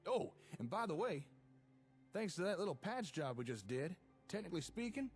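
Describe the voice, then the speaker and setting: A man's voice: high-pitched, sing-song, moderate pace, faint music behind. A man playing an easygoing, enthusiastic settlement tinkerer, chatting and explaining in a folksy way in video game dialogue.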